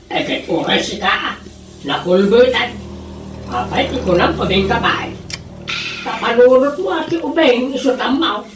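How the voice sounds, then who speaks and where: A woman's voice: on the high side, lively intonation, measured pace, a little hiss or hum. A woman playing a bickering village neighbour in a comedy radio drama, teasing and gossiping with an Ilocano accent.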